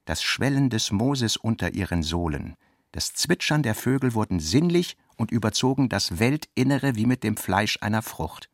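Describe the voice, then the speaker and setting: A man's voice: lowish voice, lively intonation, normal speed, no echo, clean studio sound. A man narrating literary prose as the narrator of a radio drama.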